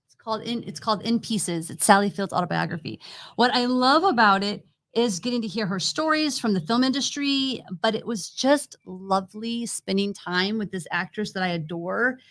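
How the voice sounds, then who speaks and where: A woman's voice: medium pitch, normal speed, a bit bright. A woman co-host chatting casually and with firm opinions as she reviews entertainment. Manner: opinionated, conversational, argues her point. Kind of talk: casual film and book review chat.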